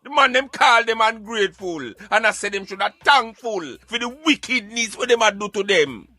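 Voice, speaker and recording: A man's voice: high voice, animated, moderate pace, bright, forceful tone, no room sound. A Jamaican man arguing emphatically in Patois, in an impassioned debate. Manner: emphatic, argumentative, speaking in Patois. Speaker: radio show co-host.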